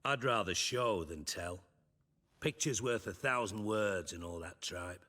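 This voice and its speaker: An actor playing a shrewd, foul-mouthed crime boss in a video game, talking in a sarcastic, commanding, wordy way. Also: male voice, low-pitched, measured pace, sharp tone, exaggerated voice. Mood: cool, menacing. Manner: sardonic, commanding, verbose. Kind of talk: cinematic video game acting. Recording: faint music behind the voice.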